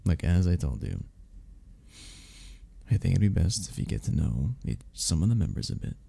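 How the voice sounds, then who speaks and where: A man acting a deep, gravelly, gentle flirt. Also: very animated, moderate pace, full character voice. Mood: welcoming. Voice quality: gravelly, husky. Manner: soft-spoken, reassuring, hesitant and considerate. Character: gentle, patient, flirty male cuddle club member. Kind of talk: intimate ASMR romance roleplay.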